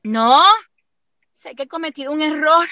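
Una actriz de radionovela que interpreta a una joven venezolana nerviosa y respondona, de habla coloquial y exclamativa, con acento venezolano. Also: female voice, high-pitched, normal speed, sharp tone, no echo, dull audio. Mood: defensive. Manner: colloquial, exclamatory. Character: nervous, feisty, plain-spoken young Venezuelan woman. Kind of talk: radio soap opera acting.

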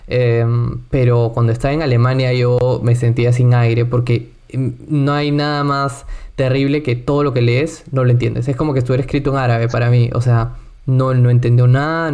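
A man hosts a relaxed conversation about travel. His voice sits at an ordinary middle pitch. He tells stories in an animated way, full of playful asides and quick self-corrections. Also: clear, resonant tone. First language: Spanish. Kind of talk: casual travel chat.

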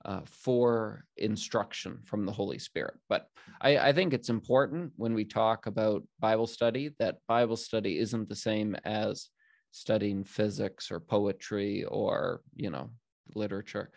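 Slow gravelly-voiced podcast host. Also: male voice, fairly low pitch, steady tone, dry recording, clean studio sound. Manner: earnest, rambling with restarts and fillers. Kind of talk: casual podcast talk on Bible study.

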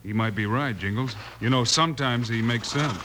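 A man's voice: medium pitch, moderate pace, natural voice. An actor playing a composed, patient heroic lawman in an old-time radio western, speaking plainly and with authority. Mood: calm, patient. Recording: noisy vintage radio recording.

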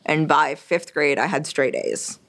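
A woman's voice: low voice, steady tone, a little slow, fairly bright tone. A young woman from Quebec whose first language is French, talking casually as an interview guest, with many fillers and restarts. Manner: chatty, frequent fillers and restarts.

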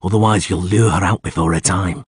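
A man voicing a calm, terse, stony monster hunter in an audiobook, speaking in an unmoved, low-key way. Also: brisk, husky, dry recording, studio quality. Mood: stern. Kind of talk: audiobook character acting.

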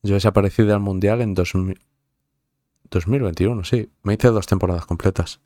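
A man's voice: lowish voice, fairly even intonation, normal speed, warm tone, no echo, studio quality. A young man from Madrid with a Madrid Spanish accent, chatting casually with viewers in a rambling, profane way full of fillers and restarts. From Madrid, Spain. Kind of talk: casual chat.

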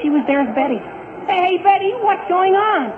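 A man playing an eager, earnest, excitable teenage schoolboy in a 1940s radio comedy. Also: very high voice, normal speed. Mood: excited, puzzled. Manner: boyish, earnest, hesitant pleading. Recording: tinny, noisy 1940s radio recording.

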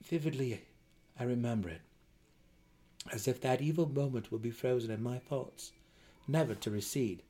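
A man voicing an earnest, noble dark elf in a fantasy audiobook, speaking in measured, formal phrases. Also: mid-range voice, slightly rough, close to an everyday voice. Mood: solemn.

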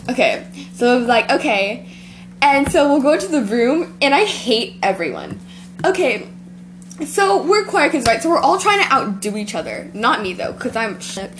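A woman's voice: on the high side, sing-song, normal speed, a little hiss or hum. A teenage girl hosting a homemade podcast, rambling through a school story with lots of giggling. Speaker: podcast host. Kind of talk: casual chat.

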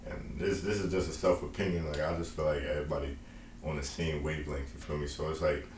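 A man's voice: very deep voice, flat delivery, quick pace, darkish tone, reverberant, slight background noise. A man from Long Island, a young rapper talking about his music in a loose, rambling, slang-heavy way. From Long Island, New York. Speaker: indie rapper guest. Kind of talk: casual interview.

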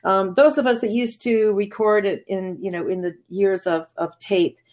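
A woman's voice: mid-range voice, fairly even intonation, moderate pace, darkish tone. A middle-aged woman voice actor from New England, answering interview questions in an articulate, chatty way with frequent restarts. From New England, USA. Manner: articulate but chatty, many restarts and laughs. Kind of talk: relaxed conversational interview.

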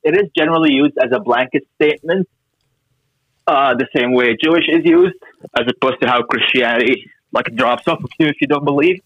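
A man's voice: mid-range voice, a little fast, no echo, highs cut off. A young man from Syria, a podcast guest telling family stories with dry jokes in casual chat. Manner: dry, joking storyteller.

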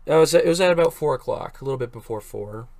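A man's voice: medium pitch, expressive, fast, gravelly, a crowd in the background. A young man co-hosting a sports talk show in a casual, easygoing, hesitant way. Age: young adult. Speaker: sports podcast co-host, student. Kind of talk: casual chat.

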